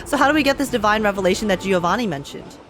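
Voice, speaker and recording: A young woman streaming a game, reacting and reading aloud in a playful, expressive way. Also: mid-range voice, rapid-fire. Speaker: gaming streamer. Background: game music.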